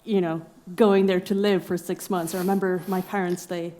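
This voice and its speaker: A woman's voice: on the low side, little pitch movement, moderate pace, mellow. A young woman from Norway speaking in a casual podcast conversation, reflective and thoughtful, with frequent fillers and laughter. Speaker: exhibiting artist guest.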